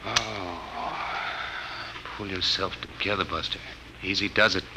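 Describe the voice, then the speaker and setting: An actor playing an earnest, polite young American psychology instructor in an old-time radio drama. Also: male voice, deep voice, steady tone, takes it slowly. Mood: self-steadying. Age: young adult. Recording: vintage radio recording with hiss.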